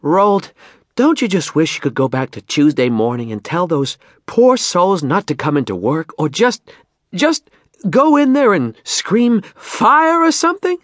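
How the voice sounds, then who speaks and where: A man puts on a worried, nagging American mother for an audiobook. The voice sits high and swoops about as it frets and scolds. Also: sing-song, a little slow, dry recording, clean studio sound. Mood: exasperated, pleading.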